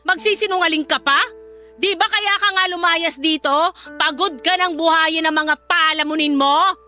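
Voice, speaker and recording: A woman's voice: very high voice, moderate pace, pushed and bright. A woman playing a bitter, scornful middle-aged mother in a Filipino radio drama, speaking in a harsh, accusing way. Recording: music behind the voice.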